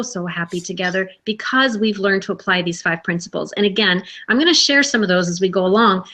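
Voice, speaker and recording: A woman's voice: medium pitch, fairly bright tone. A woman hosting a live video chat, telling her own story earnestly with fillers and restarts. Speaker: host. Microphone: video call.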